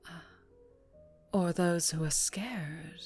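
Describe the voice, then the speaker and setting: A woman playing a dangerously charming female mafia boss in an ASMR roleplay, teasing and deliberate, often slipping into whispers. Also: on the low side, a little mellow, slightly rough, soft background music, full character voice. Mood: probing, menacing.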